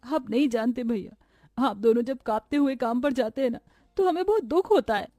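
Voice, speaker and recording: Woman acting a high, pleading young sister. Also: moderate pace, warm tone, no room sound, clean studio sound, faint music behind, natural voice. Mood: tearful, tender. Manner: pleading, emotional. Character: devoted, tearful young sister in a poor family.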